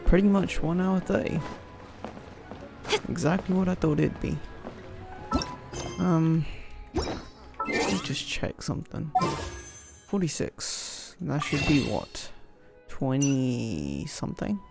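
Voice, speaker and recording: A man's voice: a little high, lively intonation, takes it slowly, gravelly, pauses often. A man giving offhand, casual gameplay commentary, muttering to himself over game music. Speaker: game streamer.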